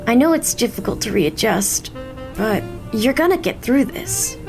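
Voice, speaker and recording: A woman's voice: on the high side, expressive, unhurried, vocal fry, over music. A woman acting in an audio drama as a hero's supportive, teasing young best friend, casual, reassuring and playful.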